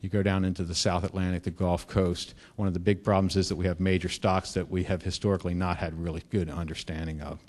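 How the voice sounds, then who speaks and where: A middle-aged man giving a conference keynote, explaining with frequent fillers and restarts, growing louder on key points. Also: low-pitched, flat delivery. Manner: halting, many fillers and restarts. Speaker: keynote speaker. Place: lecture hall. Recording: reverberant lecture hall.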